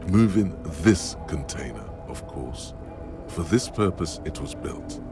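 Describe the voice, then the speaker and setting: An extremely low, gravel-throated male narrator for a detective video game. He is brooding and solemn, delivering literary description at a measured, deliberate gait. Music plays underneath. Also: lively intonation, exaggerated voice. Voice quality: gravelly, very deep. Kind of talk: scripted second-person game narration.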